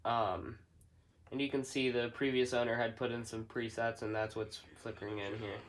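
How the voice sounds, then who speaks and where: A man giving a casual, somewhat halting hands-on product demonstration, explaining step by step. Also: medium pitch, flat delivery. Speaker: hobbyist product reviewer.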